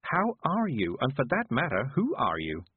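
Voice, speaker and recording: A man's voice: mid-range voice, huge pitch swings, normal speed, slightly rough, no room sound, phone-quality sound. A man voicing a frail, polite and shaken older witch lady in an audiobook, with a weak, fussy, quavering delivery. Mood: weary.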